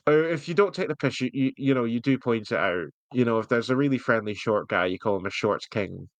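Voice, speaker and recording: Male podcast host, average pitch, dry sound. Loose, joking, conversational delivery. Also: animated, fairly quick, dry recording. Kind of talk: casual bantering chat.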